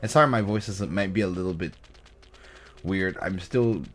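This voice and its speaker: A man's voice: sits low, normal speed. A man casually commenting on a game as he plays it, his voice still recovering with a slight cough. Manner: casual, reads game text aloud with filler and asides. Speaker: let's play host.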